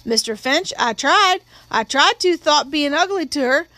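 A woman acts out a young working man on trial in an audiobook. He is scared but deferential, speaking in a high, halting, earnest voice, recorded dry and close. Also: expressive, pushed and bright, no room sound, exaggerated voice. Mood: anxious, earnest.

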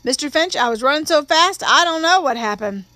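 A woman acts out a young working man on trial in an audiobook. He is scared but deferential, speaking in a high, halting, earnest voice, recorded dry and close. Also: animated, pushed and bright, no room sound. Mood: anxious, earnest.

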